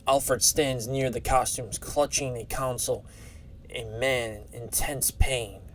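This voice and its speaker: A man's voice: mid-range voice, fairly even intonation, unhurried, slight background noise. A man reading dramatic scripted narration for an audio drama.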